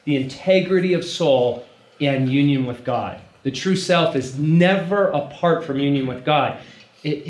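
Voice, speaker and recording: A man preaching in an informal, conversational way to a live group, emphatic and repeating phrases for weight. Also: on the high side. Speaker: preacher leading a teaching session. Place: room, speaking live to a gathering. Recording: echoey room with background noise.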